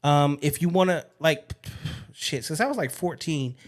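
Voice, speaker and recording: A man's voice: a little high, moderate pace. A man hosting a podcast, talking in a frank, casual way and swearing freely. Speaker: podcast host. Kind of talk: casual interview chat.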